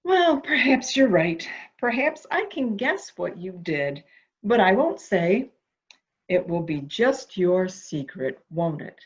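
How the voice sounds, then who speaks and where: A woman's voice: mid-range voice, slow. A woman playing a coaxing, anxious character who humors a madman in a theatrical murder mystery drama, recorded with muffled sound. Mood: gently probing. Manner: soft questioning, flattering, theatrical. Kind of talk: amateur murder mystery audio drama acting.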